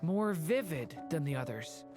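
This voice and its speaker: A woman voicing a gentle, earnest young dragon boy in a video game, polite and innocent. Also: deep voice, expressive, a little slow, music in the background. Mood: thoughtful. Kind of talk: video game character acting.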